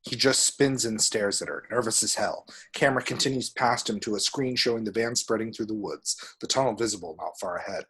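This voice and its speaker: A man with a husky voice narrating action descriptions at a screenplay table read. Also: fairly low pitch, brisk, hardly any pauses, a bit bright, low-quality recording.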